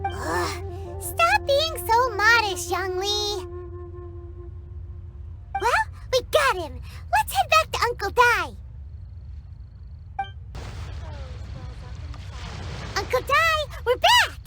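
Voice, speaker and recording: Tiny squeaky fairy sidekick, very high voice. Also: female voice, slow, exaggerated voice. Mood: proud, huffy. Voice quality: squeaky, childlike. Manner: excitable, exaggerated, refers to herself by name. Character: tiny childlike female fairy companion, cheeky and boastful. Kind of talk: video game character voice acting. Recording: loud music behind.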